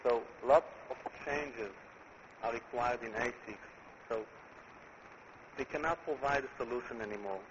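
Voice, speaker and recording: Israeli-accented man giving a muffled conference talk. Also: medium pitch. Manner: deliberate, repeats words while building points. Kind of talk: conference presentation. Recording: muffled recording with clear background noise.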